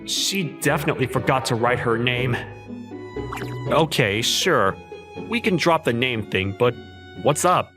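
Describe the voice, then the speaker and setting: A man playing an exasperated, awkward teenage schoolboy, telling his story in an expressive, incredulous manga dub style. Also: mid-range voice, unhurried, pauses often, natural voice. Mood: resigned. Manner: expressive, incredulous, self-talking. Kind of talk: manga dub narration and dialogue. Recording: music behind the voice.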